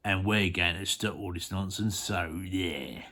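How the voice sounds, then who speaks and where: A reader doing deep scoffing jungle monkeys. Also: unhurried, exaggerated voice. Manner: scoffing and shouty.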